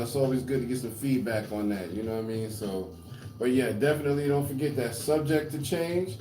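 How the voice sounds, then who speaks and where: Chill forties guy hosting a podcast, warm mellow voice, roomy sound w/ a little hiss. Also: mid-range voice. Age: 40s. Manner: laid-back, drawn-out plugs with fillers. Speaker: podcast host. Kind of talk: casual chat.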